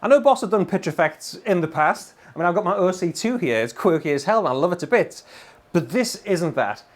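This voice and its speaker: A man's voice: high-pitched, expressive, fairly quick. A middle-aged British man giving an enthusiastic, emphatic and colloquial gear demo to camera. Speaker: guitar gear demonstrator. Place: indoor room full of guitars and amplifiers.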